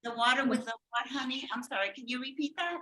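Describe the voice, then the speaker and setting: A woman's voice: sits high, fast talker, crowd noise. A middle-aged woman from El Salvador asking polite, apologetic questions on a video call.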